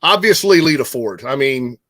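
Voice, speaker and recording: A man co-hosting a casual chat show, speaking informally in a halting but emphatic way. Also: medium pitch, expressive, fairly bright tone. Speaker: show co-host.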